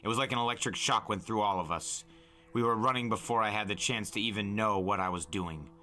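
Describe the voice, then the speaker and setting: A man narrating a story dramatically, like an audiobook, intense and deliberate in his phrasing. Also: lowish voice, bright, forceful tone, soft background music.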